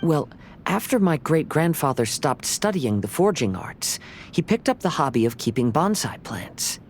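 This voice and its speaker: A man playing a gentle, thoughtful young swordsman in a video game, speaking in a measured, courteous way. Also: on the high side, very animated, slight background noise. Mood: reflective.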